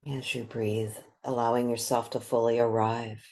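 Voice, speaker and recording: A meditation guide with a soft, breathy voice, speaking gently and unhurriedly in short phrases with long pauses. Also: medium pitch, little pitch movement.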